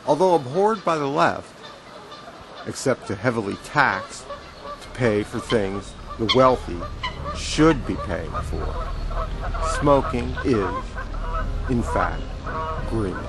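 A man playing an earnest advocate who lectures in a radio play, stressing his points with pauses and repetition. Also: medium pitch, animated. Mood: solemn, declarative. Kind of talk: scripted radio play monologue, satirical lecture.

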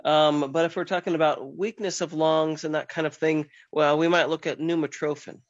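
A man co-hosting a radio health show, giving brief, measured answers with hesitations. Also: on the high side, steady tone, lo-fi sound. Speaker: radio show co-host, doctor. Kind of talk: casual radio health talk.

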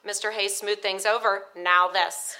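Woman acting a bright, brisk office secretary. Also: mid-range voice, level tone. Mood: firm, helpful. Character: brisk, frank office secretary, kindly but firm. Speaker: radio drama actor. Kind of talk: live radio drama acting.

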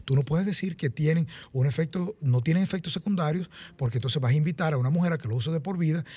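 A man's voice: on the high side, fast, mellow, breathy edge. A man in his late thirties with a Dominican accent, giving emphatic advice as a guest fitness coach in a radio interview. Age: late 30s.

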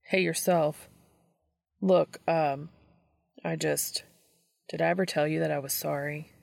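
Casting: gruff, halting, regretful man in a narrated story played by a woman, lowish and very creaky. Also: fairly even intonation, darkish tone, heavily acted. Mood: awkward, apologetic. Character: gruff, awkward, remorseful grown man.

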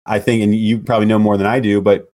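A man with a loud voice speaking as a podcast guest, relaxed and hesitant, full of "you know"s. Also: lowish voice, quick pace. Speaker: guest, loan officer. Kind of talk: casual interview chat.

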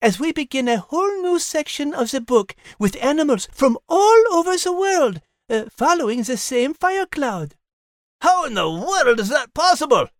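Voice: high-pitched, big pitch swings, moderate pace, no room sound, clean studio sound. A performer voicing a playful male animal co-host with a Scottish accent who teases with puns. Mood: teasing. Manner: teasing, joking, dialect words. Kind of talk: scripted podcast banter.

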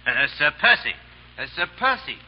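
An actor in a 1930s radio drama playing a hesitant, formal and deferential manservant. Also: male voice, a little high, big pitch swings, moderate pace, husky. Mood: flustered, deferential. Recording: dull 1930s radio recording, faint music.

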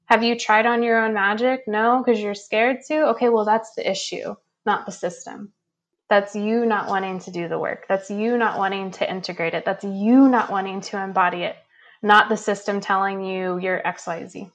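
Raspy-ish woman guest, blunt and fired up, says like a lot. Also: medium pitch, fairly even intonation, normal speed. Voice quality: slightly raspy. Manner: blunt, fervent, peppered with "like". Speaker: podcast guest, human design teacher. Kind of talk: casual conversation.

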